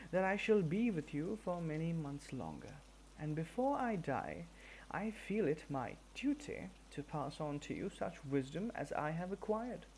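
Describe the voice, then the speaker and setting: A storybook reader voicing a wise, elderly boar who speaks in a formal, measured, oratorical manner. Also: mid-range voice, a little mellow, slight background noise, poor audio. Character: wise, solemn elderly boar addressing farm animals.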